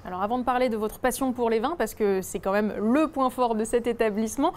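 A woman's voice: fairly high pitch, fairly quick, darkish tone. A woman in her thirties presenting and asking questions in a regional television interview. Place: indoors in a restaurant and wine shop.

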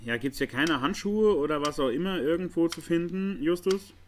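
A man's voice: on the high side, moderate pace, a little hiss or hum. A man hosting a let's play, giving informal gameplay commentary and thinking aloud.

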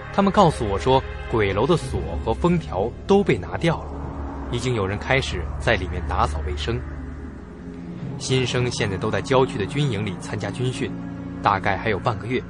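Guy narrating a suspense radio play, lowish voice, music clearly behind him. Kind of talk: scripted first-person suspense narration.

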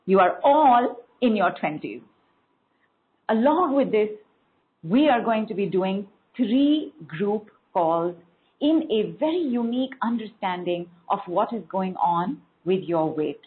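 A woman wellness coach leads a motivational talk and leans hard on key words as she stacks them in rhythmic lists. Her voice comes through thin and tinny, as if over a phone line, and sits at an ordinary middle pitch.

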